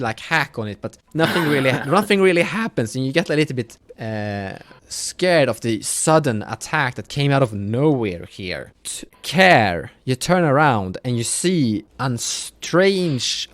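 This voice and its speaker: A man improvising narration as a game master, dramatic but often halting and correcting himself. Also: mid-range voice, lively intonation, fairly bright tone, dry recording.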